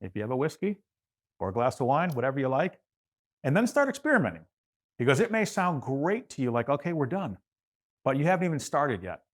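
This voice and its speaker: Male guest expert, average pitch, informal and loose delivery, doubles back on phrases while giving advice. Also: very animated, brisk. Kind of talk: casual chat giving practical advice.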